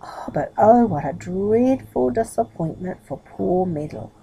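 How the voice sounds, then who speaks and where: A woman's voice: medium pitch, expressive, a little slow, creaky, dark tone, a little hiss or hum, poor audio. A woman reading a children's story aloud expressively, with chatty asides to a young listener. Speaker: storybook reader.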